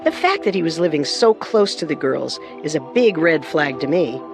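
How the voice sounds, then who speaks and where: A woman co-hosting a scripted true-crime program, speaking in a serious, deliberate way. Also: low voice, lively intonation, over music.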